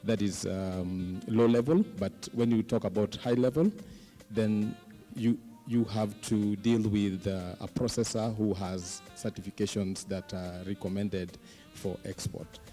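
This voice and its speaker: Middle aged guy on a panel, lowish mellow voice, halting, pauses a lot. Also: fairly even intonation, soft background music. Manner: halting, pausing often mid-sentence. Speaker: panelist, fish processor representative. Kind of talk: panel discussion remarks. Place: indoor conference stage. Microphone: handheld microphone close to mouth.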